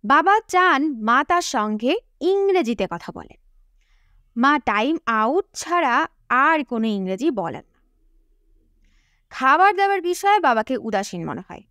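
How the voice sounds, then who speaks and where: High-pitched, animated woman narrating. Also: no echo, studio quality. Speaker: audiobook narrator. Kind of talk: scripted novel narration.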